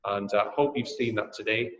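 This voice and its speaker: A man from Newcastle with a Geordie accent, hosting a webinar and making a friendly sales pitch full of ums and uhs. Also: steady tone, normal speed. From Newcastle, England. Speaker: webinar host and drone sales trainer. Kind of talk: presenting a webinar and answering questions. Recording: echoey, dull webinar audio.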